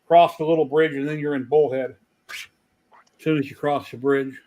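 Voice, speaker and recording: An American man of about sixty chats in an easygoing way, spinning out directions and stories at length. His voice is a touch high for a man. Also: normal speed, a little hiss or hum. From United States. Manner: chatty, gives directions in a relaxed storytelling way. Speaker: retired maker, chat regular. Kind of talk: casual group chat on a video call.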